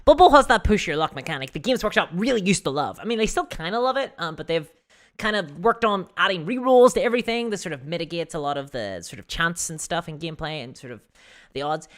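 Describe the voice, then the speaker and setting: A livestream host with a hoarse voice, chatting casually and self-deprecatingly about tabletop games and answering viewers. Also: mid-range voice, expressive, fast talker. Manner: giggly, laughs often while talking.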